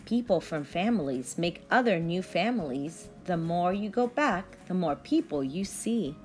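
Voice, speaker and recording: A woman's voice: lowish voice, animated, unhurried. Woman reading aloud to children, music behind. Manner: simple, instructive phrasing aimed at young children. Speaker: early-grade teacher. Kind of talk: children's read-aloud and lesson talk to pupils.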